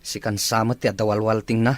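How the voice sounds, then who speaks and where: An actor playing a grumbling, demanding husband in a radio-style family drama. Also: male voice, lowish voice, flat delivery, brisk, dry recording, close to an everyday voice. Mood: irritated.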